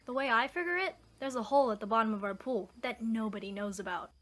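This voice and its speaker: An actress playing a bossy, feisty tomboy older sister with a folksy, blunt way of talking in a radio drama. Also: female voice, on the high side, fast, a bit bright. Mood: puzzled.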